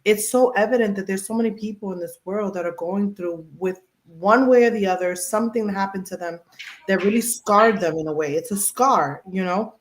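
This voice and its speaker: A woman's voice: medium pitch, brisk, roomy sound, rough recording. Woman podcast host, mid voice, emphatic and chatty, with everyday examples. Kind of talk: casual chat.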